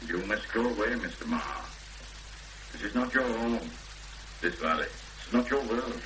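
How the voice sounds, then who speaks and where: An actor playing a grave, persuasive Welsh chapel minister with solemn, measured speech in a 1970s radio drama. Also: male voice, on the low side, animated, a bit bright. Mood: solemn, firm. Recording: noisy old radio broadcast recording with hum.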